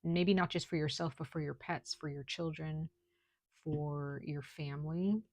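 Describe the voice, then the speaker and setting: A woman with a low, gravelly voice reads tarot for her viewers in a loose, chatty way. She talks quietly at times and keeps asking "okay?" as she goes. The sound is dry and close, with no room echo. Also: steady tone, a little slow. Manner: speaks softly at times, lots of "like" and "okay?". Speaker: tarot reader. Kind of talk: casual spiritual reading to camera. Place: at home, noise outside.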